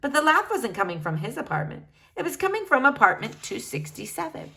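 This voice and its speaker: A woman narrating a children's book aloud in an expressive storytelling style. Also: high-pitched, fairly quick, vocal fry.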